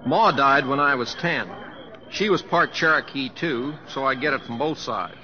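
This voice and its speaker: An actor playing a folksy, humble, teasing young cowboy with a Southwestern American accent and a homespun, hesitant drawl, in old radio drama style. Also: male voice, medium pitch, normal speed, lightly acted. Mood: wry, reminiscing. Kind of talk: 1940s radio acting. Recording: muffled old radio broadcast with music.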